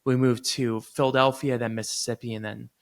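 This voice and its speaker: A man in his thirties who grew up in Florida, a surgeon talking relaxed and easygoing as a podcast guest. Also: mid-range voice, a little fast, creaky. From United States, grew up in Florida. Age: 30s. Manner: relaxed, easygoing, laughs readily. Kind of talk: casual interview chat.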